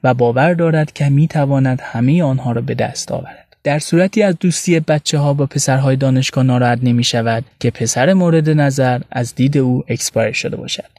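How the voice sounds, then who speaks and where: A man's voice: medium pitch, hardly any pauses, darkish tone, no echo. Man narrating, dry close sound. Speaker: radio narrator. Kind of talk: scripted first-person story narration.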